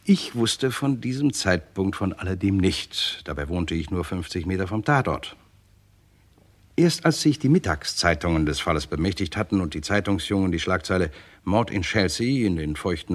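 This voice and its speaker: A wry gentleman writer, speaks very low. He is polite, talkative and mildly eager, narrating his own case in the manner of an old German radio crime play. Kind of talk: 1950s-80s German radio crime drama acting.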